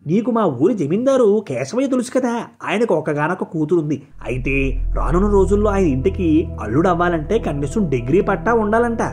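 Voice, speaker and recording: A man voicing an eager, gossipy villager in an animated moral story, excitable and coaxing. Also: sits high, brisk. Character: eager gossipy male villager friend bringing tempting news. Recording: music behind the voice.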